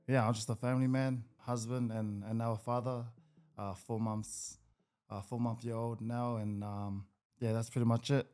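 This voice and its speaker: A man's voice: medium pitch, level tone, moderate pace, warm tone, faint music behind. Easygoing young New Zealand man. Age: 20s. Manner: easygoing, hesitant with many ums, laughs a lot. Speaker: guest, rugby league player. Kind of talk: casual podcast interview.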